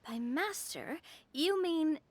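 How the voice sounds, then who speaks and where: Playful young woman, high voice, very animated pitch, chatty, inquisitive, game dialogue. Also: slow. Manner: expressive, conversational. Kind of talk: video game character acting.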